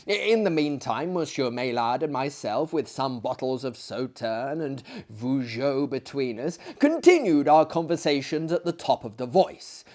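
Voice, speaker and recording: Slow, theatrical male storyteller. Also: mid-range voice, lively intonation, a little mellow. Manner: hammy, deliberate, florid. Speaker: storyteller, dramatic reader. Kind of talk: theatrical narration of classic fiction.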